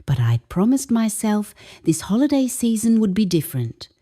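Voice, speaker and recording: A woman, an English teacher, calmly reading a first-person story aloud as scripted narration. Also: mid-range voice, normal speed.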